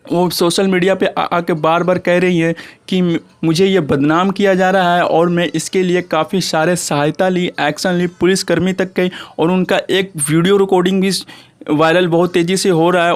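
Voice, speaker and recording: A man's voice: high-pitched, level tone, moderate pace. A man with an Indian accent hosting an online channel, giving informal gossip news commentary and addressing viewers as friends in a repetitive way. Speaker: YouTube channel host.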